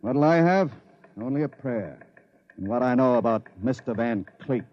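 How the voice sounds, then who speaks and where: An actor in an old radio drama playing a shrewd chief engineer, speaking in a brisk, clipped, assured and goading way. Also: male voice, medium pitch, lively intonation, slight background noise, close to an everyday voice. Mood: grave, resolute. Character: shrewd, commanding chief engineer, cool and taunting. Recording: muffled vintage radio recording.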